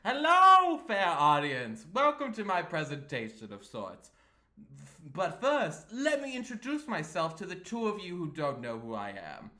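Man hamming up a pompous, flamboyant, high-voiced adventurer. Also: wildly swooping pitch, a little slow, sharp tone, exaggerated voice. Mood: boastful. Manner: pompous, theatrical. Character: flamboyant, self-important male adventurer addressing his audience. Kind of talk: hammy stage monologue read aloud.

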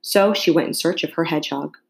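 A woman reading a classic children's story aloud as an expressive narrator. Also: deep voice, brisk, creaky, poor audio. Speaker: storybook reader.

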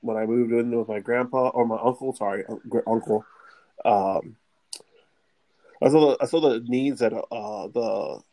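A man's voice: mid-range voice, moderate pace, dark tone, low-quality recording. A young man co-hosting a casual student chat, laid-back and joking, chuckling often. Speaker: podcast co-host.

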